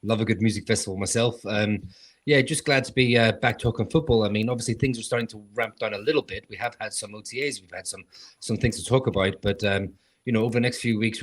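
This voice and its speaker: A man co-hosting a podcast in relaxed, casual chat. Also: lowish voice, quick pace. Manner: relaxed, filler-heavy. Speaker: podcast co-host.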